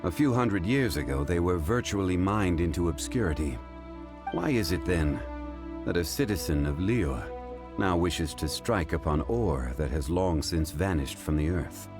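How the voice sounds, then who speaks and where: An actor playing a calm, formal man with an ancient, scholarly air who speaks in a measured, unhurried way. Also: low-pitched, music in the background.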